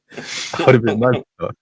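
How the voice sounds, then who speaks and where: A man's voice: medium pitch, gravelly, breathy edge, dry recording. A man hosting a casual stream, laid-back and giggly as he reads out chat messages. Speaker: host.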